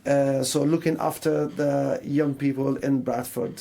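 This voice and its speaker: A middle-aged man from Syria, a native Arabic speaker with a Syrian Arabic accent, telling his story as a radio guest in halting English, searching for words. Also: mid-range voice, level tone, gravelly, dark tone. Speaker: radio interview guest, mental health charity service manager. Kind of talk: conversational radio interview, personal storytelling.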